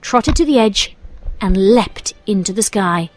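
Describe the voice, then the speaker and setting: A woman's voice: medium pitch, expressive, unhurried, slight background noise. A woman reading scripted story narration as the narrator of a tale.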